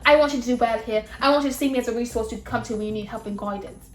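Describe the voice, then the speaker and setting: A woman voicing a stern, formal male professor in a story game, speaking deliberately as if lecturing. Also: on the high side, lightly acted. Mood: grave warning. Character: stern, measured male professor, older, cautioning and intense. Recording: roomy echo, music behind.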